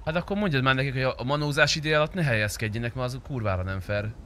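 A man's voice: medium pitch, pushed and bright. A man talking casually and swearing while playing an online game. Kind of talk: casual gaming voice chat. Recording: faint background noise.

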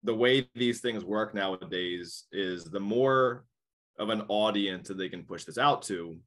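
A man's voice: fairly low pitch, measured pace, a bit bright. A man in his thirties, a guest on a video call, talking casually in a rambling way with many restarts. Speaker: podcast guest. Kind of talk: casual interview chat. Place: small indoor room.

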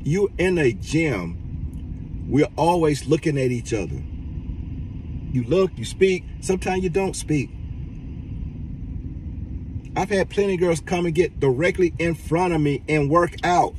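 A middle-aged man talks into a phone held at arm's length inside a car. His voice swings up and down a lot as he presses his points with blunt, profane exasperation. Car rumble and street noise sit clearly behind him, and the phone picks him up from a little way off. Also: lively intonation, measured pace, pauses often, a little mellow, distant mic, rough recording. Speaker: reaction vlogger. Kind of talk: casual commentary rant. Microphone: phone camera at arm's length.

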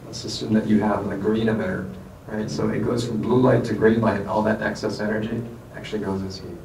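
A man's voice: fairly low pitch, flat delivery, a little fast, a little mellow, a little hiss or hum. A man on a science panel answering audience questions, explaining with everyday analogies in a lecture room. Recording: echoey room from far off.

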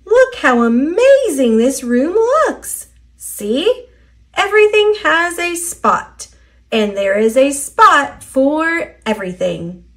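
Woman voicing a high, lilting cheerful little storybook helper. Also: sing-song, slow, full character voice. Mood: encouraging. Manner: upbeat, instructive. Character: cheerful, encouraging little spot character teaching children. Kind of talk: children's storybook read-aloud.

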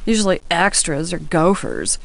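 A haughty TV studio woman from a mystery video game. She is scornful and condescending, dripping sarcasm. Her medium-pitched voice swings widely, in a dry recording. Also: very animated, normal speed, gravelly, no echo. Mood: sarcastic, scornful.

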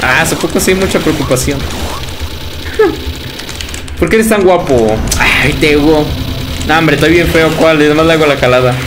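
A young man with a Mexican Spanish accent chats and laughs with his livestream viewers from a room at home. His voice sits a little high, and loud game music plays behind him the whole time. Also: unhurried, pauses often. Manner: chatty, laughs often, calls viewers brother. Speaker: game streamer. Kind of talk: casual livestream chat reading viewer comments.

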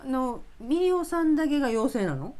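A woman's voice: high voice, very animated, normal speed, warm tone. A woman with a Kansai accent in casual chat, responding with short echoes and prompting questions as co-host. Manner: responsive, short echoing interjections. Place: small indoor room, seated on a sofa.

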